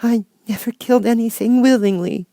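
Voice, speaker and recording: A man's voice: very high voice, steady tone, fairly quick, mellow, no room sound, clean studio sound, voice pushed up. A man voicing a small, meek young girl in a storybook, timid and earnest in her pleading. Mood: tearful, despairing.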